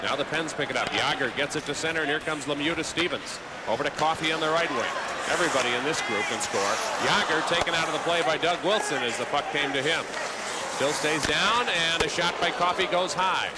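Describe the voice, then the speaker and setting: High-pitched man calling hockey play-by-play. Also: fairly quick, heavy background noise. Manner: excitable on big plays. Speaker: play-by-play announcer. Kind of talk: live ice hockey commentary.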